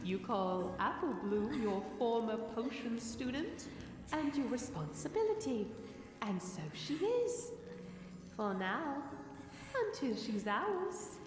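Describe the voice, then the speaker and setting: A woman's voice: on the high side, sing-song, takes it slowly. A woman voicing a gloating parasitic monster in an audio drama, theatrical and savoring its cruelty. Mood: sly, menacing. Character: gloating parasitic monster wearing a dead pony's body. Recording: echoing, long reverb over music.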